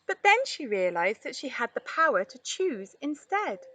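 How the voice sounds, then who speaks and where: A woman narrates a children's storybook with a high, lively voice. Her sound is bright and very dry, with expressive scripted delivery. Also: normal speed, no echo. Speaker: storybook reader, play therapist.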